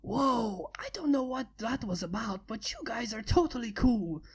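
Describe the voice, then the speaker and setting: A woman voicing a cocky, fast-flying female pegasus who boasts brashly. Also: fairly high pitch, animated, breathy edge. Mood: boastful.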